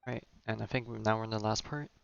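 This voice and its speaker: Low-pitched man casually commentating a game. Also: level tone, fast talker, vocal fry, clear, resonant tone, muffled recording. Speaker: game streamer.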